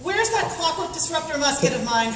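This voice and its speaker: A woman in a comic radio play acting a brisk, clever, bossy young inventor heroine, quick-witted and decisive. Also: high-pitched, big pitch swings. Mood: urgent. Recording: echoey hall, distant mic, crowd noise.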